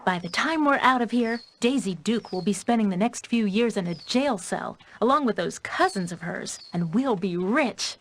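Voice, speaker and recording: A woman playing a sly, confident young villainess who coaxes and flatters like a cool schemer. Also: mid-range voice, moderate pace, few pauses, slight background noise, close to an everyday voice. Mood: smug. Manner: coaxing, confident.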